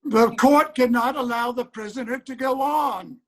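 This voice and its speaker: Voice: mid-range voice, moderate pace, a bit bright, natural voice. Performer playing a stern, commanding courtroom judge. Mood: stern, insistent. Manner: formal, commanding, insistent. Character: stern, impatient 19th-century judge presiding at a trial. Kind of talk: reader's theater courtroom drama.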